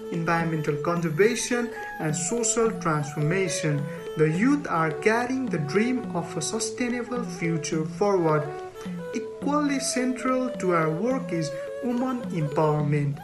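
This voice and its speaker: A woman from Nepal giving a scripted presentation, reading prepared text in a measured, formal way. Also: mid-range voice, poor audio. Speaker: community organisation co-founder. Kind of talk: scripted presentation in a recorded video. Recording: plucked string music behind the voice.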